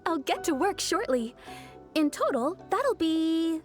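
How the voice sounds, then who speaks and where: A female tailor in a video game. She is polite and businesslike, pitched high with lively intonation. Faint music sits underneath. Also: slow pace, exaggerated voice. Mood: helpful. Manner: polite customer-service phrasing.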